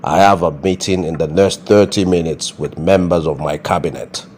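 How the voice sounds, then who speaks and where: A man's voice: sits low, steady tone, moderate pace, darkish tone, a little hiss or hum, close to an everyday voice. A man acting as a stern, pompous king in a comedy skit, speaking in a ceremonious, formal way. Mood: irritated. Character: stern, grandly formal Nigerian king passing judgment.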